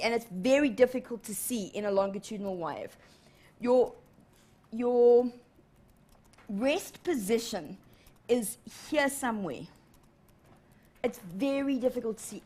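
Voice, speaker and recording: Woman, 30s, TV teacher, animated, checks in often, fairly high voice, studio lesson. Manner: animated, gestures a lot, checks in with "okay?". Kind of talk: live televised lesson. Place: TV studio in front of a large screen.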